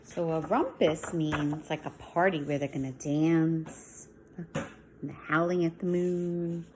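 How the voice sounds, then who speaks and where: Slow-paced woman reading to young children. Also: deep voice, noisy background. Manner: expressive, emphatic story reading with hushed explanatory asides. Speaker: schoolteacher reading to young pupils. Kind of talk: children's picture book read-aloud with asides.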